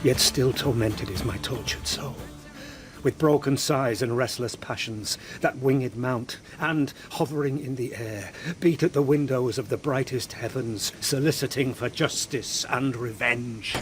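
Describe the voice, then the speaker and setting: A man's voice: medium pitch, measured pace, music in the background, natural voice. An actor playing a middle-aged knight-marshal grieving for his son and seeking revenge, in impassioned, declamatory verse for a radio tragedy. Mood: tormented. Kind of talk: Elizabethan tragedy radio acting.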